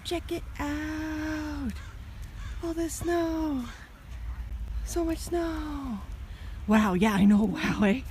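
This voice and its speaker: A woman's voice: high-pitched, extremely slow, pauses often, darkish tone. A woman from Toronto, chatting upbeat to the camera as she walks a snowy city street. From Toronto, Canada. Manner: chatty, upbeat, talking to camera while walking. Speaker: vlogger. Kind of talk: casual walking vlog. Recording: outdoor street and traffic noise. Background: traffic.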